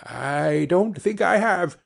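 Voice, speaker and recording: A man reading aloud puts on a humbled old miser, pitched up high and husky, pleading in a timid, submissive way. The voice rises and falls a great deal as he begs to be taught. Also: animated, slow pace, exaggerated voice.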